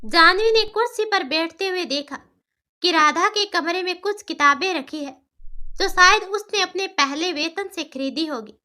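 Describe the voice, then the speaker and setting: A woman's voice: very high voice, a little slow, pushed and bright. A woman narrating a scripted cartoon story as a storyteller.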